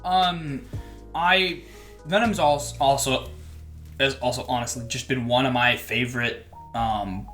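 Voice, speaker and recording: A man in his twenties co-hosting a podcast, talking loudly and with enthusiasm in a casual chat. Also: medium pitch, steady tone, a little slow, soft background music. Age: 20s. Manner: loud, enthusiastic. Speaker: podcast co-host. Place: large empty echoey room.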